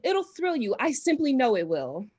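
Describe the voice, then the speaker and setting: A woman's voice: sits high, very animated, moderate pace, darkish tone, husky, highs cut off, full character voice. A woman playing a gushing, self-important society hostess and would-be medium in a radio drama, speaking in a grand, theatrical manner.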